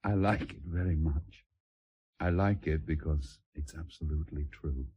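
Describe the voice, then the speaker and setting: A man playing a devoted, solemn naval commander in a radio drama, with a low, raspy voice and a measured, courtly, tender manner. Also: dull audio, exaggerated voice. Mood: thoughtful.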